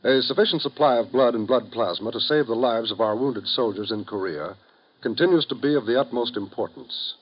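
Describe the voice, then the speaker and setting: A man announcing a 1950s radio broadcast in a measured, earnest, formal manner. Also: mid-range voice, slightly rough, dull audio.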